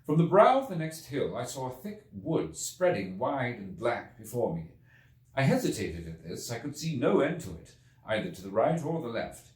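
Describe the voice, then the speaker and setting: A man voicing an earnest Victorian gentleman time traveler recounting his ordeal in a storybook reading, formal, brooding and deliberate. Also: mid-range voice, big pitch swings, lightly acted. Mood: uneasy, hushed. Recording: echoey room, distant from mic.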